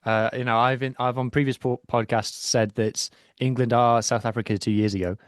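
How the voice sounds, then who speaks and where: A man's voice: mid-range voice, little pitch movement, a little fast, dry recording. A man co-hosting a rugby podcast from his home studio, chatting and explaining in a self-deprecating way. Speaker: podcast co-host. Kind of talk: casual chat and rugby analysis.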